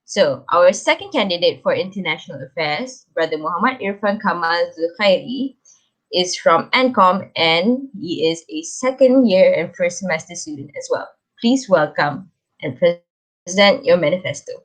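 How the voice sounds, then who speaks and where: Woman, moderator, low voice, formal introductions, event emcee. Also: animated, moderate pace, poor audio.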